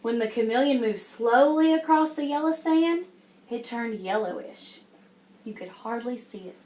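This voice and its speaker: Female primary teacher, high-pitched, interactive read-aloud, encouraging and checking in often, recorded in an echoey room. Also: animated, normal speed, dark tone. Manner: encouraging, addresses the class as guys, frequent check-in questions. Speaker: teacher reading a picture book to young children. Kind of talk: interactive classroom read-aloud with questions and praise.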